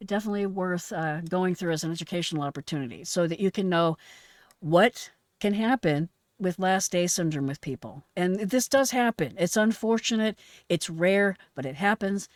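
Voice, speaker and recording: A woman with a fairly low voice hosts an analysis of emergency calls. She speaks frankly and instructively, with emphasis, in a dry, close recording. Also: normal speed, dry recording. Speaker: host, retired emergency dispatcher. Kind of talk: reaction commentary analysing an emergency call.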